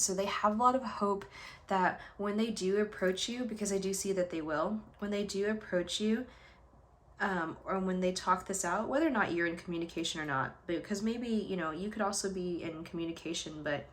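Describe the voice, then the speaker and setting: A woman giving a pick-a-card tarot reading, her pitch sitting right in the middle. She rambles earnestly and fills her pauses with ums and you-knows. Her tone stays affirming and encouraging throughout. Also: a little hiss or hum. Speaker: tarot reader.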